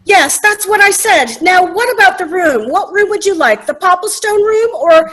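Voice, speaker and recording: A woman playing a brisk, formally polite but exasperated restaurant hostess in a comic readers' theater sketch. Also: very high-pitched, animated, a bit bright. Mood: patient, insistent. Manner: brisk, formal customer-service phrasing.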